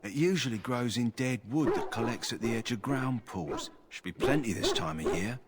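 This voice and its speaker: A man's voice: medium pitch, expressive, normal speed, very noisy, lightly acted. An actor playing a practical, kindly male kennel master in a fantasy video game, plain-spoken and earnest. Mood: practical, concerned.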